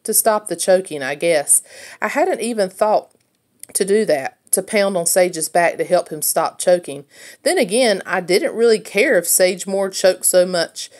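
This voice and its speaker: A woman reading a children's book aloud in an expressive storytelling style. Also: fairly low pitch. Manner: acts out dialogue as a child narrator's story. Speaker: read-aloud reader.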